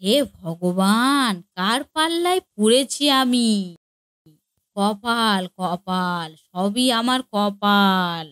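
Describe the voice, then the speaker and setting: A woman's voice: medium pitch, animated, very slow, fairly bright tone, no echo. A woman voicing a nagging, exasperated middle-aged mother-in-law in a comedy cartoon, with a sarcastic, lamenting way of talking. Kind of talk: Bengali comedy cartoon dialogue.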